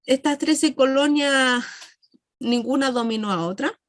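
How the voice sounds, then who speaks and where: A woman with a Chilean accent lectures to a class in a high, bright register. She explains step by step, asks questions and answers them herself, and often pauses on fillers or corrects herself mid-phrase. The sound is dry and close, with little room reflection. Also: dry recording. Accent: Chilean Spanish. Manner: many fillers and self-corrections. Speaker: university lecturer.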